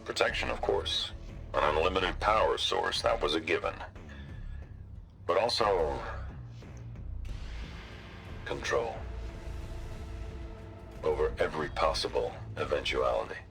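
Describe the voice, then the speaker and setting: An actor playing a cold, controlling tech tycoon in a video game audio log, speaking calmly and in a measured, self-justifying way. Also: male voice, extremely low pitch, creaky, faint music behind, exaggerated voice.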